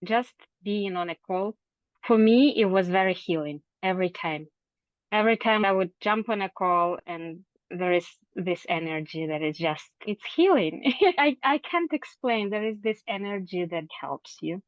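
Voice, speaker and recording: A woman's voice: medium pitch, animated, moderate pace. Slightly dull, lo-fi audio with little echo carries a woman's voice. She shares a heartfelt, personal testimonial as a group member.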